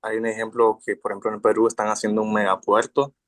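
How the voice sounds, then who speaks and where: Flat-voiced, polite man from Honduras. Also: lowish voice, no echo, clean studio sound. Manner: polite, formal greeting, measured. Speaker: audience member asking a question. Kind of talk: question in a Q&A.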